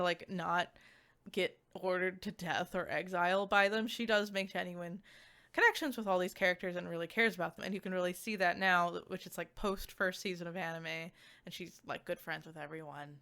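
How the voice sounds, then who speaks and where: A woman giving a casual, chatty game review as a streamer, speaking informally and haltingly with lots of filler words. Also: lowish voice, sharp tone, clear, resonant tone. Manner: informal, halting, filler-heavy speech with frequent "like".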